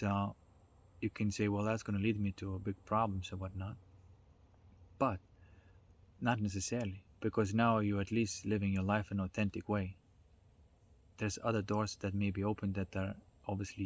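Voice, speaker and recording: A man with a fairly low voice speaks informally, as if explaining ideas in a self-development talk. His delivery is reflective and halting, with frequent little checks on the listener. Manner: reflective, halting delivery with checking tags like "right?" and "you know".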